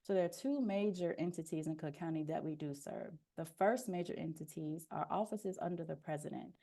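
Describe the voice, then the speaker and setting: Soft-spoken woman with a gravelly low voice. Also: fairly quick. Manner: explanatory, steady, with frequent "um" fillers. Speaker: deputy procurement officer presenting a webinar. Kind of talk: informational webinar presentation. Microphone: headphone mic on a video call.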